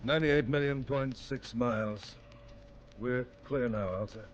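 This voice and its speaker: An actor playing a grave, steadfast spaceship commander in a 1950s radio drama, solemn and measured. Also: male voice, medium pitch, lo-fi sound, natural voice. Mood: steady concern. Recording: noisy vintage radio recording.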